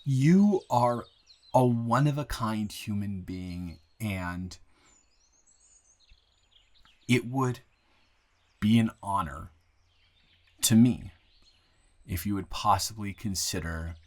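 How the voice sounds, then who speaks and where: A man playing an earnest, grateful young man shyly confessing love to a friend in an intimate, halting, improvised audio roleplay. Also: lowish voice. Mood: vulnerable, affectionate. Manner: halting, rambling, earnest, breaking into soft laughs.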